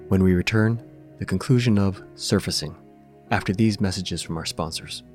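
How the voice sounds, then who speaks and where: Guy podcast host, lowish voice, doing announcements and recs, bit of music behind. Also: normal speed. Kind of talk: podcast announcement and personal recommendation read.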